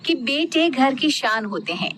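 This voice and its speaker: High-voiced woman narrating a moral story. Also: very animated, a little slow, fairly bright tone, slight background noise.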